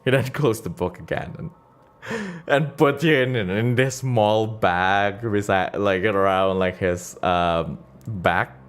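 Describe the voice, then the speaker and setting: A man narrating an improvised storytelling stream as game master, halting as he searches for words. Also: on the low side. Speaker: streamer and game master of a solo tabletop campaign.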